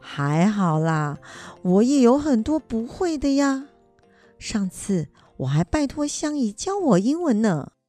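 A woman acts as an old grandmother who loves her studies. She is modest yet eager and talks in a chatty, earnest way. Her tone is mellow, with light music around this children's radio play. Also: a little high, big pitch swings, slow pace, no room sound. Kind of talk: children's educational radio drama acting.

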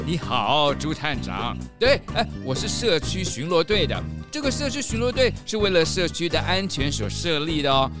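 A nervous, eager-to-please patrol dog in a children's radio play. His voice is fairly high and jumps around as he stammers politely, over background music. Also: big pitch swings, moderate pace, hardly any pauses. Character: timid, ingratiating, flustered neighborhood patrol dog. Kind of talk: Mandarin children's detective audio drama.

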